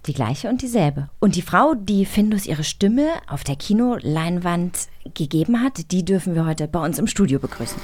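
A woman's voice: fairly low pitch, animated, moderate pace, few pauses, darkish tone. A woman co-hosting an interview show casually, with an effusive, playful and informal way of talking. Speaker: podcast co-host.

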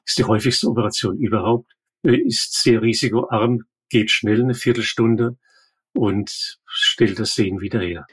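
Older guy, low husky voice, eye doctor explaining stuff calm and plain. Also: moderate pace. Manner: calm, explanatory, matter-of-fact. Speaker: ophthalmologist guest. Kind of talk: expert interview.

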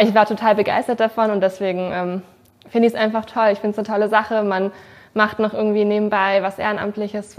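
A woman answering an interview question about why she volunteers, with hesitant fillers. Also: mid-range voice.

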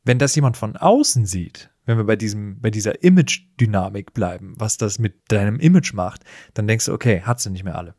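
A man's voice: on the low side, expressive, brisk, few pauses, darkish tone, no echo, studio quality. A man in a conversational podcast discussion, explaining things with emphatic phrasing. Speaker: podcast co-host.

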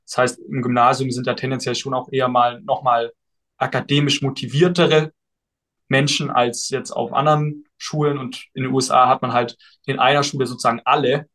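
A young man, a guest on a podcast, answering questions in a relaxed and thoughtful way, with frequent fillers. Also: medium pitch, a little fast. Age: young adult. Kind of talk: casual podcast interview.

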